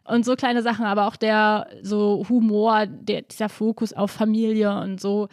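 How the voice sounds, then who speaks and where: A woman in a close, dry recording, speaking at a medium pitch with a slight Berlin colouring. She talks casually and reflectively as an interview guest, using informal, youthful slang. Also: flat delivery, moderate pace, a little mellow, no echo. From Berlin, Germany. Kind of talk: casual conversational interview, reflective personal talk.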